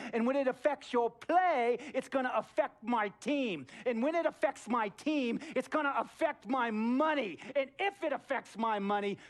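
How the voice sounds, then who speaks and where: An actor playing a flamboyant, bullying billionaire who owns a basketball team, theatrical and preachy, in a video game cutscene. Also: male voice, extremely high pitch. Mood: furious, commanding. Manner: theatrical, preachy, builds from hushed to shouting.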